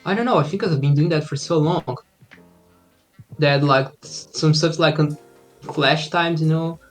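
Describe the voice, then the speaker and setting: A man's voice: fairly high pitch, a little slow. Brazil-born man, thirties, on a laptop call with faint music behind, easygoing and hesitant. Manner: hesitant, many fillers, easygoing. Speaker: indie game developer guest. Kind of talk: casual interview chat. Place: home room, indoors. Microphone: laptop video call.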